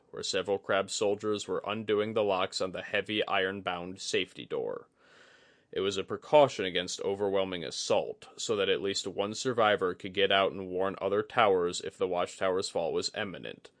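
A man with a fairly low voice narrates fantasy fiction. It is a scripted audiobook read. The sound is dry, with very little room in it. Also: steady tone, moderate pace, a bit bright, dry recording.

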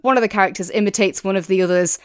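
A woman co-hosting a review podcast in casual conversation, thinking aloud and softening her points as she goes. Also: mid-range voice, very fast, clear, resonant tone, dry recording, clean studio sound. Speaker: podcast co-host reviewing audiobooks; works in audio production.

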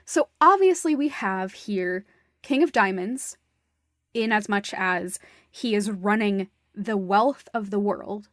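A woman's voice: medium pitch, takes it slowly, no room sound, studio quality. A woman co-hosting a casual, opinionated talk show, speaking in an emphatic, analytical way and swearing casually. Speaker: podcast co-host discussing a stage musical. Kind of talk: casual, opinionated critical discussion.

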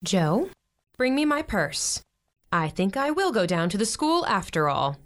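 Firm, animated mother, played by an actress. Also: medium pitch, measured pace, heavy background noise. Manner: articulate, authoritative, dry. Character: firm, principled, middle-aged mother. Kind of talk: modern audio drama acting.

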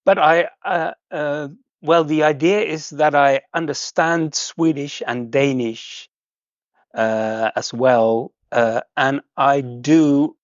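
Man from the Netherlands, halting speech, dull lo-fi audio, dry sound. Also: on the high side, dry recording. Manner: hesitant, halting, frequent restarts and laughs. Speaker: guest host. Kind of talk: casual chat.

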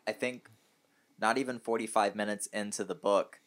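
A man's voice: on the low side, fairly even intonation, moderate pace, a bit bright. A hungover man chatting casually and telling stories as a podcast co-host. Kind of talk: casual chat, storytelling.

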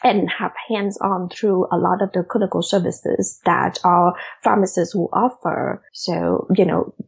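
Woman with vietnamese accent, 40s, gravelly husky voice, muffled recording. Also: lowish voice. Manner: measured, with frequent "you know" fillers. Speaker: guest. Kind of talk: conversational interview.

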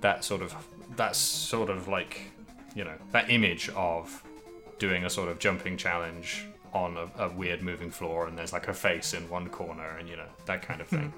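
A man's voice: low-pitched, steady tone, moderate pace, music in the background. A man co-hosting a podcast, chatting casually about a video game in a rambling, think-aloud way. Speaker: podcast co-host.

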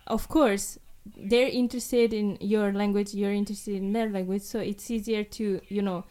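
A woman's voice: medium pitch, steady tone, normal speed, mellow. Young woman, rambling chat. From Europe. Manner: rambling, many fillers like "like" and "uh". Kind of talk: casual chat.

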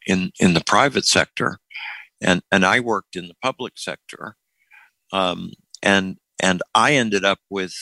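A man's voice: deep voice, sharp tone, no echo, poor audio. An older man hosting a casual group talk, often repeating words as he starts a thought. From Florida.

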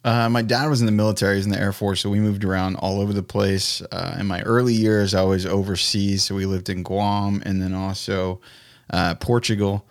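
A man's voice: fairly low pitch, little pitch movement, brisk, no room sound, clean studio sound. A man chatting casually as a podcast guest, telling things in an informal, anecdotal way.

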